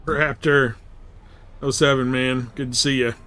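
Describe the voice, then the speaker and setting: A man's voice: medium pitch, steady tone, measured pace, a bit bright. A man chatting casually and thinking aloud as he livestreams a game in a laid-back way. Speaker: game streamer. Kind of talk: casual livestream gameplay chat. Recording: faint background noise.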